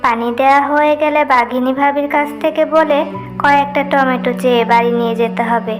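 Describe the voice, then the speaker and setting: A woman's voice: high voice, fairly even intonation, brisk, soft background music, close to an everyday voice. A woman voicing a poor, hardworking animal mother in a cartoon, patient, humble and placating. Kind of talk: cartoon voice acting.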